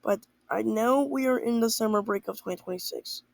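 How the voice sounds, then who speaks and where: Creaky-voiced young teen. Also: medium pitch, a little fast, no room sound.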